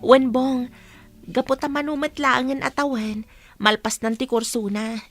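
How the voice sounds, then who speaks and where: A woman's voice: high-pitched, fairly even intonation, a little slow, soft background music. A woman playing a friendly, encouraging young female student in an Ilocano radio drama. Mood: friendly, eager.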